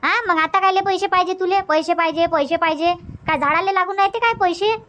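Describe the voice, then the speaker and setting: Casting: crotchety old village granny for a cartoon, very high and bright, snappish, Varhadi Marathi accent. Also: quick pace. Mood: refusing. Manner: snappish, scolding, firing questions. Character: grumpy, suspicious old village grandmother. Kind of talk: cartoon comedy dubbing.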